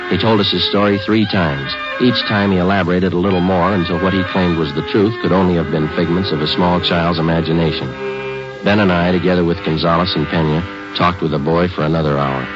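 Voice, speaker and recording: A man playing a calm, businesslike police detective in a radio drama, with a terse, matter-of-fact American delivery. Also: deep voice, fairly quick, over music, lightly acted. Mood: deadpan. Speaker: lead actor and narrator. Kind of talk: 1950s radio police drama, clipped narration. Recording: dull old-time radio recording.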